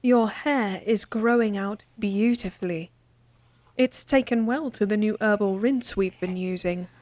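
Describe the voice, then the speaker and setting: A woman playing a candid, devoted lady-in-waiting in a fantasy audio drama, speaking formally with a gently teasing, coaxing manner. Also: mid-range voice, measured pace, dry recording, natural voice. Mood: gentle, fond.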